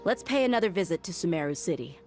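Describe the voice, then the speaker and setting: Low-pitched loyal mercenary woman, composed and plain-spoken, game dialogue with clear music underneath. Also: animated, fast talker, darkish tone. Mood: resolute, earnest. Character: loyal, frank young female mercenary bodyguard. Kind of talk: video game voice acting. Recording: game music playing behind the voice.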